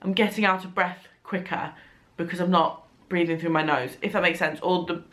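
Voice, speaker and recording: A woman's voice: fairly low pitch, fairly even intonation, moderate pace, a bit bright. Congested young woman vlogging. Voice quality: nasal. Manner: chatty, rambling, laughs now and then. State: congested, blocked nose. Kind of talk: casual chat to camera. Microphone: compact camera.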